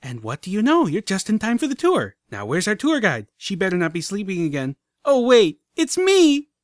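A man doing a hyperactive little pony filly, pitched way up, with a wildly bouncing, squealing delivery. She gushes breathlessly like an overexcited tour guide, and the voice sits dry and close with no room around it. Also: moderate pace, dry recording. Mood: giddy. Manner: squealing, breathless, bursts of excitement. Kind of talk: audiobook character voice.

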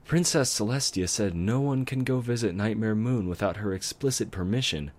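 A man voicing an eager, bookish young unicorn mare in a fan story reading, in a raised, bright, kind voice. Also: on the low side, moderate pace, voice pushed up, close to an everyday voice. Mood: playful.

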